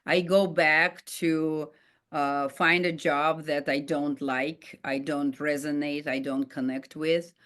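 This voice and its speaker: A middle-aged woman with a Russian accent speaks in a somewhat low voice. She tells her own story as a life coach, earnest and heartfelt, often pausing on "uh" and restarting her sentences. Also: fairly even intonation, fairly bright tone. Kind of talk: personal storytelling monologue.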